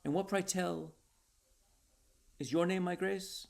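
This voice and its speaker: A man's voice: high voice, fairly even intonation, takes it slowly, vocal fry, pitched above the performer's own voice, exaggerated voice. A man voicing a cocky, sly inventor who sells his wares in a story, speaking proudly and theatrically. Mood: taunting. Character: cocky, sly male word inventor and seller.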